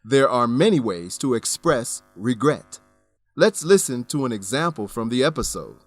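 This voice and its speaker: A man narrating a scripted English lesson, enunciating deliberately as he explains words and grammar. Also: medium pitch, sing-song, dry recording, faint music behind.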